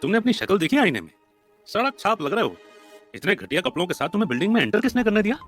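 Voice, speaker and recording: A cocky young office buddy, acted by a male narrator teases with brash mockery. The voice is raised in pitch, in a story told over background music. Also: very animated, very fast, a bit bright. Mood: mocking teasing. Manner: mocking, brash banter.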